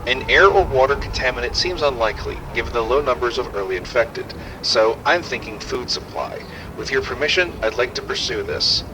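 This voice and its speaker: A man playing a measured, analytical outbreak investigator, giving a precise formal report in a game audio log. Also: mid-range voice, expressive, noisy background, close to an everyday voice. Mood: clinical. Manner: clinical, precise.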